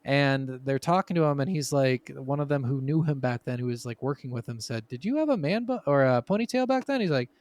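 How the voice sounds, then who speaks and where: A man's voice: medium pitch, lively intonation, brisk, hardly any pauses, darkish tone, dry recording. A man hosting a gaming podcast, telling stories in an informal, conversational way and swearing freely. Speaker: podcast host. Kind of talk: casual podcast storytelling about video games.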